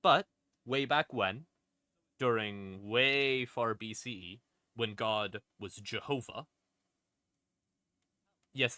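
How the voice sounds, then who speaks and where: A man casually explaining game lore on a stream in an irreverent, sweary, rambling way, with dramatic pauses for emphasis. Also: on the low side, bright, forceful tone, no echo. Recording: music playing in the background. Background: creepy ambient music.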